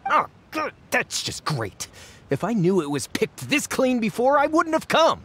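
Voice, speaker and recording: A man's voice: very high voice, wildly swooping pitch, measured pace, breathy edge, exaggerated voice. A man voicing a greedy, flippant treasure seeker in a video game, with a theatrical, self-satisfied manner. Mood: disappointed. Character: greedy, flippant male treasure-seeking dandy, easily disappointed. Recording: loud game music behind.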